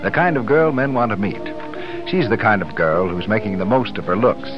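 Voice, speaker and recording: A man's voice: lowish voice, fairly quick, over music. A man announcing on 1930s radio, reading in a formal, polished sales style. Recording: dull 1930s radio broadcast.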